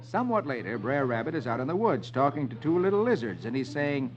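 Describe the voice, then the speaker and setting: Old radio funnies guy, lively theatrical storyteller, muffled sound with music behind. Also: medium pitch, fast. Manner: animated, theatrical. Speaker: host reading the funny pages. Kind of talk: 1950s children's radio storytelling.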